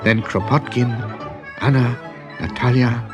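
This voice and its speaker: A man narrating a children's story in a dramatic, deliberate way, with expressive swells and hushes. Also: mid-range voice, dull audio. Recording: music behind the voice.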